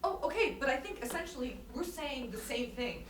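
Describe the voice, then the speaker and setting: A flustered, earnest woman, played by an actress in a staged play reading, with a very high voice. She stammers apologetically and questions hesitantly. The recording is distant and echoey, with clear noise. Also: big pitch swings, fairly quick. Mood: confused, apologetic.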